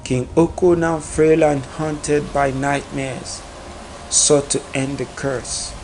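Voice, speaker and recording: Slow, solemn male storyteller over loud ambience. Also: a little high, little pitch movement, a little hiss or hum, low-quality recording. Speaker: storyteller narrator. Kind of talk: scripted narration of a dark epic folktale.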